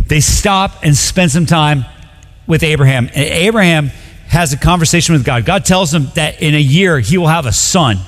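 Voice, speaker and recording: A young man from Colombia preaching a sermon in a relaxed, conversational way, full of "like" and quick restarts. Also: a little high. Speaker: pastor. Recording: long reverb of a large hall.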